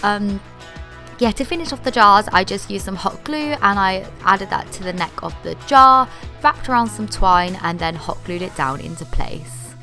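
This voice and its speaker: A young woman walks viewers through a craft project in a casual, chatty way. Her voice is slightly hoarse because she is losing it, and her pitch sits in the middle. Soft music plays quietly beneath her. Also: lively intonation, normal speed. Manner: chatty, upbeat, lots of "like" fillers. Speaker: DIY vlogger. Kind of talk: casual DIY tutorial voice-over.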